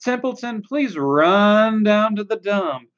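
A woman's voice: medium pitch, sing-song, slow, highs cut off. A woman voicing a lazy, grumbling male rat from a storybook, mocking and drawn out in complaint. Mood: disgusted, sarcastic.

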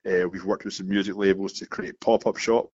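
Scottish founder in his thirties, low-pitched, restarts phrases in a steady flow; dull, dry sound. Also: brisk, slightly rough, no room sound. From Scotland. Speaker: founder of a marketing agency, guest. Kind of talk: casual interview talk. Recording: dull muffled dry recording.

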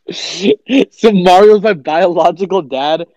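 A man's voice: sits high, slow pace, a little mellow, no room sound, muffled recording. A man co-hosting a comedy podcast, laughing often and reacting with disbelief. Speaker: podcast co-host. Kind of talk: improvised comedy banter.

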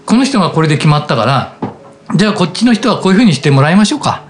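Animated man, fast and lively. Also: a little high. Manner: animated, acts out quoted lines. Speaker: radio drama writer and director. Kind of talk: casual chat.

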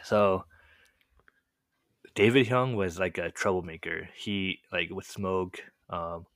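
A young man from the United States, whose first language was Korean, telling his childhood story in a relaxed, rambling way, full of "like" and "um". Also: low-pitched, slow pace, dry recording, clean studio sound. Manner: relaxed, rambling, heavy use of "like" and "um" fillers. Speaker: podcast guest telling his life story. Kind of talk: casual storytelling chat.

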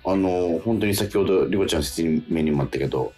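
A man's voice: low-pitched, brisk, a little mellow, soft background music. A man hosting a radio talk show in a studio, chatting casually with many fillers and easy nods.

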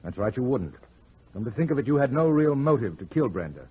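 An actor playing a shrewd, sardonic private detective in an old-time radio drama. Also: male voice, mid-range voice, big pitch swings, fairly quick, husky. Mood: explaining, wry. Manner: sardonic, explaining, teasing. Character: wisecracking, shrewd male private detective, smooth with women. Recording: muffled vintage radio drama recording.

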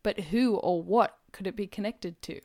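A woman's voice: medium pitch, normal speed, creaky, a little mellow, no echo, lightly acted. Woman reading as guarded male detective, dry recording. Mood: wary questioning. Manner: guarded, questioning, muttering. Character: wary male detective questioning his sidekick in crime story. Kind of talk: amateur audiobook-style character reading.

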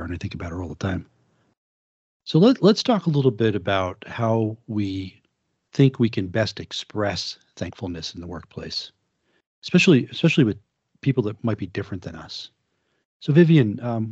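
A man hosting a casual podcast discussion, relaxed and conversational as he asks his guests questions. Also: slightly rough, no room sound. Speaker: podcast host. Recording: dull, dry, low-fidelity podcast audio.

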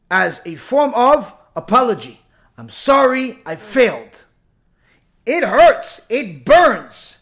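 A man's voice: high-pitched, fairly bright tone. A man speaking as a rabbi giving a religious lecture, emphatic, with rhetorical repetition and bits of acted-out dialogue.

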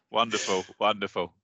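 A man's voice: on the low side, bright, forceful tone, no room sound. A man co-hosting a podcast, teasing and laughing through casual banter. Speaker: podcast co-host. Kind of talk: casual chat.

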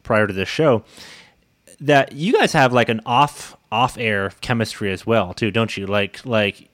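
A man hosting an interview in a casual, informal and halting way, feeling out his questions as he goes. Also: fairly low pitch, fairly bright tone, no room sound, clean studio sound. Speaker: podcast host, interviewer.

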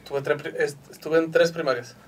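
A man's voice: fairly high pitch, fairly even intonation, fairly quick. A man in his thirties from Chihuahua with a northern Mexican accent, a podcast guest chatting casually in a slangy, laid-back way with free swearing. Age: 30s. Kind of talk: casual chat.

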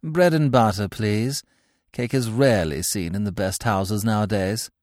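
A man's voice: on the low side, a little slow, darkish tone, no room sound, studio quality, voice pushed up, natural voice. A man voicing a haughty young society lady from the city, affectedly polite and satirical. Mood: disdainful.

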